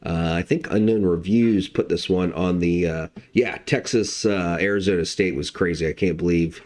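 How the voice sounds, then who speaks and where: A very low-pitched man with a slightly hoarse voice, hosting a live trading stream. He speaks in a relaxed, conversational way and fills his pauses with uh and um. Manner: relaxed, lots of fillers like uh and um. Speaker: trading analyst host. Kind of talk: casual live-stream market commentary.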